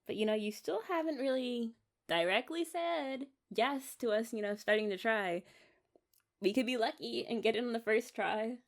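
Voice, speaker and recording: A woman's voice: fairly high pitch, moderate pace, close to an everyday voice. A young American woman playing an eager, affectionate wife in an intimate ASMR romance roleplay, rambling and coaxing in a giggly way. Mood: tender, hopeful.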